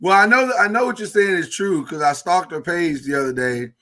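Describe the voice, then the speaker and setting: Joking man hosting a live podcast. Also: brisk. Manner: relaxed, joking, says he has a very deep voice. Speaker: podcast host. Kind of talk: casual chat.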